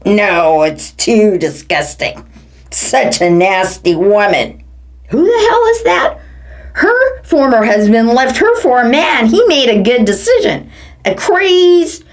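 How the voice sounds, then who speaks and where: A woman from the United States giving a theatrical satirical reading, swinging between low mutters and high exclamations. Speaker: performance artist. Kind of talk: satirical dramatic reading of quotes. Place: home living room.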